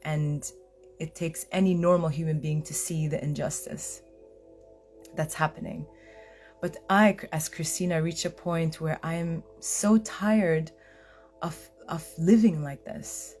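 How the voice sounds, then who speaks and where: A woman's voice: deep voice, darkish tone. A woman hosting a heartfelt live video, speaking earnestly and hesitantly, often restarting her sentences. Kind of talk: heartfelt personal live-stream talk.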